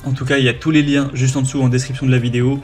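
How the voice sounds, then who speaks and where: A man's voice: mid-range voice, level tone, rapid-fire, a little mellow, soft background music. A man presenting a product review in a casual, explanatory, conversational way.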